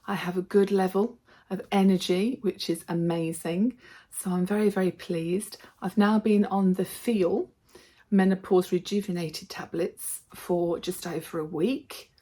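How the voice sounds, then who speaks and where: Slightly hoarse, mellow woman vlogger. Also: on the low side, steady tone, a little slow. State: slightly hoarse, losing her voice from a throat infection. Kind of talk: casual vlog chat to viewers.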